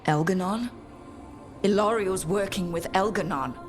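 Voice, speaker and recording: A woman's voice: mid-range voice, a little slow, over music, lightly acted. An actress playing a capable, determined young woman who leads adventurers in a fantasy video game, wry and matter-of-fact. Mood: serious, probing.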